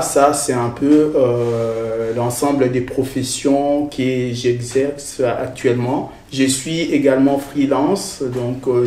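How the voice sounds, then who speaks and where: A man from the Congo, a researcher and lecturer, answering interview questions in a measured way with frequent hesitations. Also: medium pitch, warm tone, a little hiss or hum. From Democratic Republic of the Congo. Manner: hesitant, many filler sounds, measured. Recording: roomy echo.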